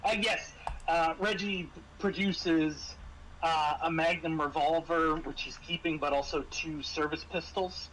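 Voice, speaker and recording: A man with a slightly high voice is running a tabletop roleplaying game. He explains rules casually, pausing between short phrases, with faint noise behind him. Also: steady tone, fairly bright tone, rough recording. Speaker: game master.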